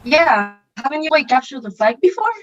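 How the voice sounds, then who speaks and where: A woman's voice: high voice, expressive, fairly quick, highs cut off. A woman playing a cool, wry teenage bad-boy camp counselor in an online table read of a stage musical. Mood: casual, teasing.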